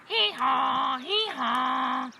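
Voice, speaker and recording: A woman's voice: high voice, sing-song, unhurried, background noise, pitched above the performer's own voice. A woman voicing a friendly, encouraging donkey in a children's story, eager and given to braying. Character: friendly, encouraging donkey leader of animal band.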